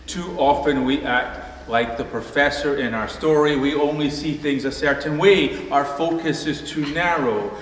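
A middle-aged man preaching a sermon from a pulpit, calm and measured, telling a story with dry humor. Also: a little high, slight background noise. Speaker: pastor. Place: church sanctuary, behind a pulpit. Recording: distant, echoey church hall.

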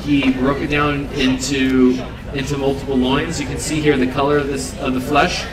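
A man's voice: medium pitch, flat delivery, moderate pace. A man in his thirties giving an earnest, unscripted demo talk to an audience at an outdoor event, with many fillers and restarts. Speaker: seafood company representative. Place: outdoor event stage under trees. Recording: roomy sound from far off with crowd.

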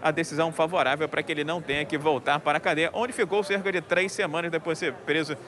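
A man's voice: on the high side, fairly even intonation, quick pace, fairly bright tone. A man in his thirties reporting live outdoors at a crowded event, speaking into a handheld mic through a face mask, chatty with many fillers. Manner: chatty, many fillers, addresses anchor by name. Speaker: field reporter. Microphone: handheld mic, wearing a face mask. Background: crowd murmur.

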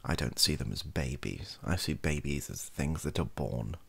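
A young man with a husky, gravelly voice, rambling softly and wryly to camera, often hesitating and correcting himself. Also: a bass voice, expressive, moderate pace. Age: young adult. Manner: hesitant, self-correcting, wry. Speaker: ASMR comedy video creator. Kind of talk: improvised soft-spoken rambling to camera. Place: small home bedroom office.

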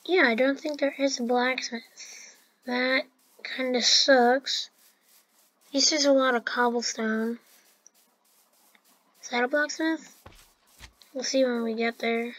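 A woman's voice: sits high, steady tone, slow, pauses often, fairly bright tone, low-quality recording. A woman giving casual, chatty commentary over her own gameplay, with fillers and restarts. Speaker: gameplay narrator.

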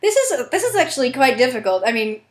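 A woman's voice: high voice, big pitch swings, fast. A young adult woman chatting casually in a rambling, self-deprecating way about auditioning as an amateur voice actor. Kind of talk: casual chatty vlog narration. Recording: echoey room.

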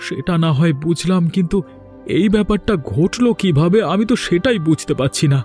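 A man's voice: high voice, expressive, brisk, darkish tone. A man voicing a worried, humble village husband in a cartoon, earnest and questioning, with music underneath. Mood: worried, puzzled. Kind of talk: Bengali cartoon dubbing.

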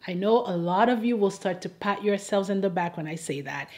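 A woman from Haiti speaks as the host of an advocacy video, in a mid-range voice. Her delivery is scripted and persuasive. She makes her points deliberately, with a wry, rhetorical edge. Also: mid-range voice, animated, dark tone. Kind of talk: scripted persuasive commentary addressed to camera.

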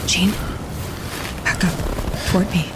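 A woman acting in a naturalistic audio drama as a wry, excitable photographer camping in the winter wilderness, with a conversational, jittery manner. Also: takes it slowly, heavy background noise, lightly acted. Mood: hushed, tense.

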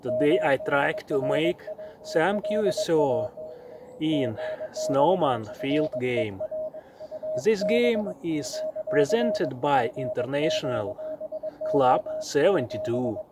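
A man in his thirties presenting a radio hobby vlog outdoors in short, plain, halting phrases. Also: on the high side, lively intonation, very noisy. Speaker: amateur radio operator presenting his field outing. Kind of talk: simple scripted vlog narration. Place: outdoors in a snowy forest.

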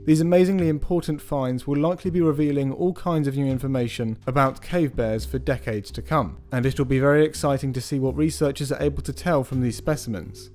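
A man narrating a scripted science news roundup in a measured, even voice-over. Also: medium pitch, faint music behind. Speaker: news presenter.

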